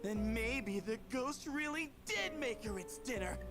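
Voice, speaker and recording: Brief: cocky high-school joker, animated delivery, music bed. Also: male voice, unhurried, heavily acted. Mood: spooky. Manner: boastful, playful. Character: brash teenage boy, joking show-off. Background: game music.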